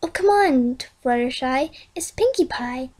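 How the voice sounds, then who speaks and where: A woman's voice: high-pitched, animated, a little slow, a little mellow. A woman voicing a worried, reassuring female unicorn pony in a storybook reading, hesitant and coaxing. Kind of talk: amateur storybook fan fiction reading.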